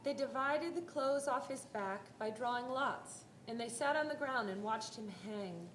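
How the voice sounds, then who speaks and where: A woman narrating a scripted dramatic Bible reading, telling the story in a deliberate, expressive way. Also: a little high, a little hiss or hum. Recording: roomy live hall, faint music.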